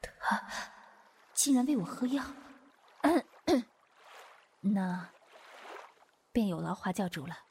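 A woman's voice: medium pitch, lively intonation, drawn-out words, long pauses, a little mellow, a little hiss or hum. A woman playing an easygoing, bashful young Taoist swordswoman in a Chinese wuxia audio drama. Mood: flustered, bashful.

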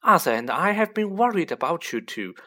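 A narrator voicing a scolding, bustling middle-aged mother witch in an audiobook, fussy but fond, with sharp exclamations. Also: mid-range voice, sing-song, brisk, voice pushed up. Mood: fussing, worried.

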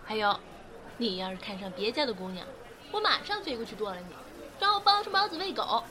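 A woman's voice: on the high side, big pitch swings, brisk, crowd noise. An actress playing a feisty, teasing young girlfriend in a Mandarin radio drama. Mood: teasing threat. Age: young adult. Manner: teasing, mock-threatening. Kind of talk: Mandarin radio drama acting with first-person narration. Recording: music behind the voice.

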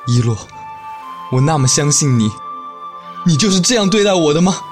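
A man playing a gentle, trusting young merman in an audio drama. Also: fairly high pitch, expressive, moderate pace, over music, close to an everyday voice. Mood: betrayed, pained.

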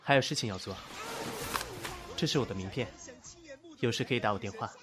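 A man playing an easygoing young male designer who also counsels, speaking softly with gentle teasing in an audio drama. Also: fairly low pitch, a little fast, close to an everyday voice. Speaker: lead character.

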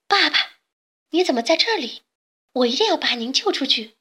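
A brave, tender young daughter from a storybook, voiced by a woman narrator. Her voice is high and earnest. She speaks with a pleading, devoted manner. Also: expressive, normal speed, pushed and bright. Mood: alarmed. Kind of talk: children's storybook reading.

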